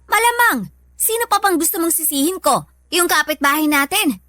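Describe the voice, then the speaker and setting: A woman's voice: very high-pitched, animated, moderate pace, bright, forceful tone, no room sound, clean studio sound, full character voice. A woman with a Filipino accent playing a hardworking, long-suffering older mother in a radio drama, plaintive and motherly. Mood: hurt, scolding.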